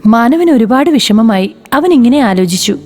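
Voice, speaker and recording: Female storyteller for children's animation, mellow and animated in intonation, faint music bed. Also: a little high, normal speed. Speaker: narrator. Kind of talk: scripted children's story narration.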